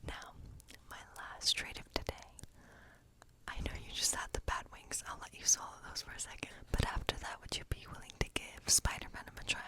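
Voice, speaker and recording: Whispering, coaxing cottage witch played by a woman. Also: natural voice. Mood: gently coaxing. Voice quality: breathy, unvoiced whisper. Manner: slow, coaxing, reassuring, rambling. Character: hospitable, eccentric female witch in a woodland cottage. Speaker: ASMR performer. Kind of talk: ASMR fantasy role play.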